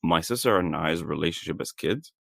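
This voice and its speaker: A man in his thirties hosts a podcast interview and breaks in with questions in a relaxed, chatty way. His voice sits low. The recording is dry and close. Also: a little fast, creaky, dry recording. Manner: interjecting, asks follow-up questions. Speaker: podcast host. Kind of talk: casual interview chat.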